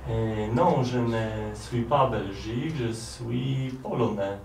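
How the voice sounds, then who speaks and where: Slow, hesitant man with Polish accent. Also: medium pitch, gravelly, a little mellow. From Poland. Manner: hesitant, halting, with fillers. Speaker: French learner. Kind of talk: answering lesson drills. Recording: roomy, distant mic, faint noise.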